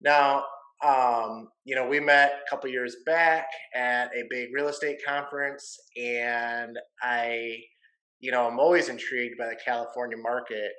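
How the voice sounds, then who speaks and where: A man's voice: medium pitch, fairly even intonation, a little slow, bright, forceful tone, poor audio. A man from Michigan hosting a casual video-call interview, easygoing and jokey, often saying "man" and "you know". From Michigan, USA. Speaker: podcast host, realtor.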